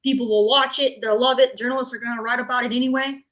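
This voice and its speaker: Higher-pitched woman, blunt and emphatic. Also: fairly even intonation, quick pace, fairly bright tone. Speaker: gaming show panelist. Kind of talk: opinionated panel discussion.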